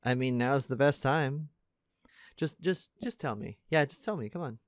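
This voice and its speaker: Man as halting, caring teenage best friend, close-up. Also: mid-range voice, no room sound, natural voice. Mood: serious, bewildered. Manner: casual, halting, stammering, conversational. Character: earnest, caring teenage male best friend, high school senior. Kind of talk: intimate audio roleplay addressed to the listener.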